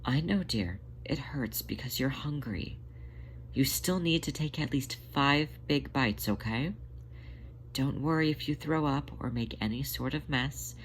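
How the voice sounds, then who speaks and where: A narrator voicing a motherly female cat, gentle and reassuring, coaxing softly in an audio story. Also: medium pitch, measured pace, a little hiss or hum, pitched above the performer's own voice, close to an everyday voice, rough recording. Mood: soft reassurance. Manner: soft, soothing, coaxing.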